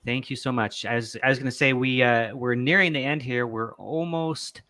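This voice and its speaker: A man's voice: mid-range voice, steady tone, moderate pace, pushed and bright, no echo. A man hosting a casual video call chat, easygoing and laughing along with his guests. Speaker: podcast host.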